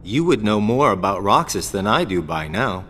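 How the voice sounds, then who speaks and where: A man's voice: lowish voice, big pitch swings, moderate pace, bright, forceful tone, slight background noise. A man voicing a laid-back, wry young fighter in a game cutscene, with an offhand drawl. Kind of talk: video game cutscene acting.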